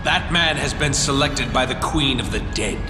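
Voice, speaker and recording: A man's voice: medium pitch, moderate pace, close to an everyday voice. An actor playing a haughty, covetous fire king in a video game, speaking in a theatrical, courtly and menacing manner. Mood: intrigued, haughty. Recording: echoey hall reverb under loud music.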